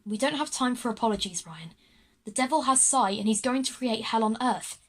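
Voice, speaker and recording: A woman's voice: a little high, fairly quick, a bit bright. A woman voicing a bold, decisive schoolgirl leader in a children's audio drama, urgent and commanding. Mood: firm.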